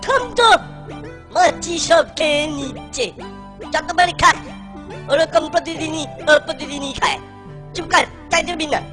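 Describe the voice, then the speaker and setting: A man's voice: very high-pitched, unhurried, long pauses. A man voicing a stingy, scolding, middle-aged village sweet-seller in a comic cartoon. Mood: irritated. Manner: scolding, dismissive, mocking. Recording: music behind the voice.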